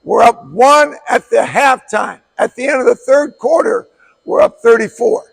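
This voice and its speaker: Middle-aged man coach, hoarse, raspy and gravelly, blunt and emphatic clinic delivery. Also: very high-pitched, animated, measured pace, low-quality recording. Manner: blunt, emphatic, repeats key words. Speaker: basketball coach.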